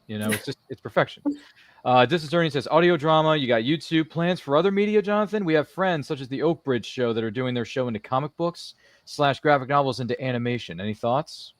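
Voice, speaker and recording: A man's voice: mid-range voice, lively intonation, quick pace, no room sound. A man hosts a casual livestream interview in a mid-range voice with a close, dry sound. He is jokey and slangy, tossing theatrical asides to people who are not there as he reads out comments. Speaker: host of a live interview show. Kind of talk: casual livestream chat, reading out viewer comments.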